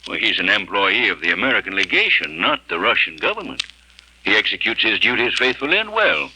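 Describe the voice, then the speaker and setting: An actor playing a cautious, measured ambassador in an old radio drama. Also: male voice, lowish voice, sing-song, sharp tone, slightly rough, heavily acted, low-quality recording. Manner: measured, official. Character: cautious older American ambassador. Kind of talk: 1940s radio acting.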